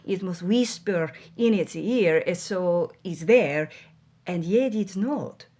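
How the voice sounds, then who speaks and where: Woman putting on a deliberate, suave Spanish film director. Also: on the low side, warm tone. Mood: wry, pontificating. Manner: deliberate, hesitant, savoring phrases. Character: suave older male Spanish film director, theatrical, philosophical.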